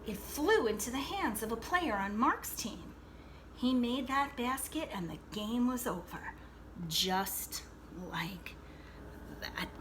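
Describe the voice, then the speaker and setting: Teacher lady doing storytime for kids, really lively up and down voice, explains as she goes, noisy room. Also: on the high side. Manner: expressive, explanatory, checks in with listeners. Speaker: teacher reading a picture book aloud to children. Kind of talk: read-aloud storytelling with asides to young listeners.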